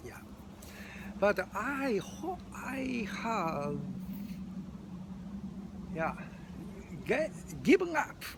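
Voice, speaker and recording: Older Japanese-accented man, video diarist, high lively voice, slow, halting; traffic noise behind. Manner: halting, many fillers and restarts. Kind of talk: unscripted video diary.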